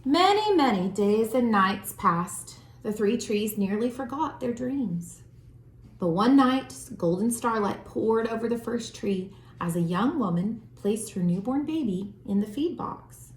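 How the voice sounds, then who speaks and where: A woman reading a children's storybook aloud as a storyteller, with expressive, deliberate phrasing. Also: on the high side. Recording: roomy, echoing room sound.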